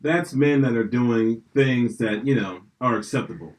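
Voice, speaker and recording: A man's voice: medium pitch, normal speed, low-quality recording. A young man from Pennsylvania hosting a podcast, telling rambling stories in casual, profane chat. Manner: profane, rambling, animated storytelling. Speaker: podcast host.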